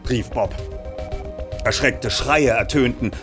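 A man's voice: medium pitch, takes it slowly. A man reading a story aloud as a dramatic, scripted narration. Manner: animated storytelling with acted dialogue. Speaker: audiobook narrator. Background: music accompaniment.

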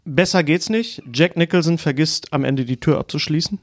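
A man's voice: a little high, moderate pace, no room sound. A man hosting a podcast, discussing films casually in colloquial language. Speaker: film podcast host. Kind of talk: casual film discussion, reads out listener submissions.

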